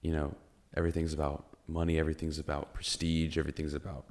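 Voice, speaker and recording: Very deep-voiced young man, hesitant. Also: flat delivery, quick pace, darkish tone. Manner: rambling, hesitant, many fillers and restarts. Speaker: podcast co-host. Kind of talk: casual podcast chat.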